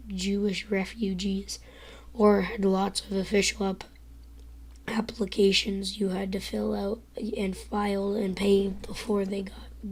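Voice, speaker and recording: A teenage girl with a hoarse, worn-out voice reading a novel aloud live, whispering and stumbling over words as she goes. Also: mid-range voice. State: hoarse, lost voice.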